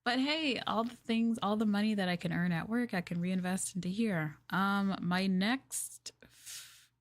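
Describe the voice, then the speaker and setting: A woman livestreamer chatting casually with viewers in a gentle, hushed, soft-spoken ASMR style, close to the microphone. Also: medium pitch, a little slow, no echo. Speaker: VTuber livestreamer.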